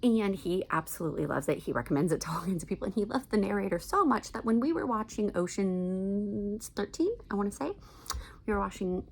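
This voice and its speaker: A woman's voice: medium pitch, animated, a little fast, vocal fry, a little hiss or hum. A middle-aged American woman chatting casually and emphatically as a video blogger giving recommendations. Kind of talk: casual chat to camera, recommendations.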